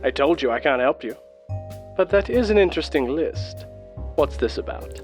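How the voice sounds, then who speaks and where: An actor playing a cagey, reluctant Interpol agent in a radio drama, with a terse, deadpan manner. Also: male voice, fairly high pitch, normal speed, faint music behind, lightly acted. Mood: evasive, dry. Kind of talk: radio drama phone dialogue.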